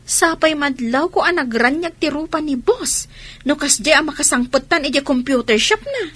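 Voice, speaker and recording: A woman's voice: high voice, lively intonation, moderate pace, a bit bright, a little hiss or hum. A woman playing a playful, nagging young worker with a Filipino accent in a radio drama. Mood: teasing.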